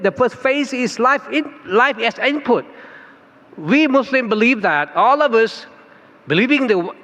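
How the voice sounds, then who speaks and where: A man's voice: sits high, fairly bright tone. Thai-accented man giving an earnest keynote. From Thailand. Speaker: keynote speaker. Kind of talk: conference keynote speech. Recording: reverberant hall, dull lo-fi sound.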